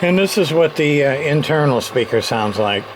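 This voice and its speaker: A man's voice: fairly high pitch, lively intonation, moderate pace, noisy background. A man giving a casual, unscripted gear demo, rambling along in plain-spoken, folksy grammar like a repairer showing his work. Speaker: radio repairer and online seller showing gear.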